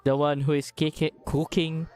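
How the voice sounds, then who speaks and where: Clear-voiced man commenting on gameplay. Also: fairly high pitch, flat delivery, moderate pace, darkish tone. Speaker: game streamer. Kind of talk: casual live gameplay commentary. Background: game music.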